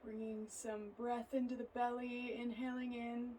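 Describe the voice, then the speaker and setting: A woman leading a guided yoga and relaxation practice as an instructor, speaking in a gentle, soothing way with unhurried phrasing. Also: a little high, little pitch movement, a little hiss or hum.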